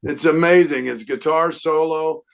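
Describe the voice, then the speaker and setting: An older man, a guest singer, chatting casually in an unhurried, rambling way with lots of fillers. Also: fairly high pitch, steady tone, gravelly. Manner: breaks into sung snippets. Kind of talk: casual interview chat.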